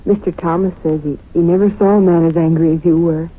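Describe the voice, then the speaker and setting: An actress playing an eager, playful young sweetheart in an old radio drama, curious and coyly affectionate. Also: female voice, on the low side, steady tone, fast, breathy edge. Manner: curious, coy, affectionate questioning. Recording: muffled, narrow vintage radio recording.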